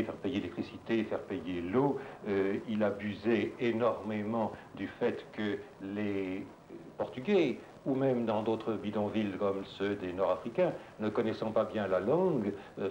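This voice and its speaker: A man's voice: lowish voice, a little mellow, a little hiss or hum. Man giving a firm, indignant statement. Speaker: interviewee. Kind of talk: interview statement. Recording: echoey, dull room recording.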